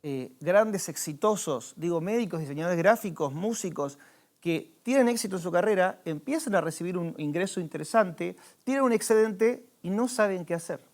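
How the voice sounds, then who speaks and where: A man's voice: high-pitched, lively intonation, normal speed, darkish tone. A man with an Argentine Spanish accent, a financial adviser patiently explaining savings with examples and some hesitant restarts. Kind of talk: explanatory conversation.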